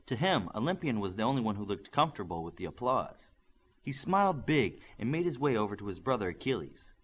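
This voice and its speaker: A man narrating an audiobook in an expressive, steady storytelling manner. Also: fairly low pitch, breathy edge. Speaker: audiobook reader. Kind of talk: scripted narration.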